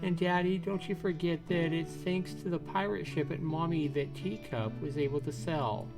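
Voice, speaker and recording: A man's voice: a little high, moderate pace, pitched above the performer's own voice, close to an everyday voice. A man voicing a sad, curious little boy who asks his father innocent questions, reading visual novel dialogue. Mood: eager. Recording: music behind the voice. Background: guitar music.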